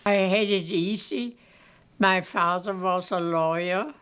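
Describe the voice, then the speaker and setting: A woman's voice: on the low side, fairly even intonation, takes it slowly, a bit bright, smooth. An older woman from Germany, telling her life story plainly and matter-of-factly. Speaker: interviewee. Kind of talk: personal life story told in an interview.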